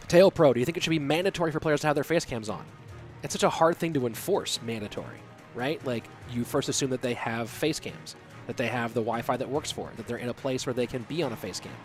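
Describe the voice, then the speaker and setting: A man's voice: mid-range voice, quick pace. Male game caster, stuffy nose, laid-back chatty play-by-play, faint music behind. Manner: relaxed, chatty, answers chat questions between plays. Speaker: esports commentator. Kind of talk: solo play-by-play casting and reading chat.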